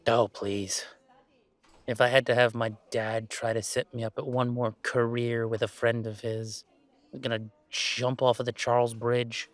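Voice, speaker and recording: A man playing a laid-back, self-deprecating young gamer in a roleplay audio drama, chatting in a halting, playfully exasperated way. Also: mid-range voice, level tone, no echo, lightly acted. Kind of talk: roleplay audio drama, casual one-sided conversation.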